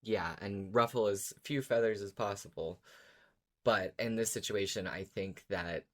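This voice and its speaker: A podcast co-host in casual discussion, arguing a case conversationally and at times reading out comments. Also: medium pitch.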